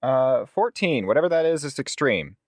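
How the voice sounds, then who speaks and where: A man plays a tabletop role-playing game in casual chat. His voice sits at a medium pitch and swings expressively up and down. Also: big pitch swings, normal speed, no echo.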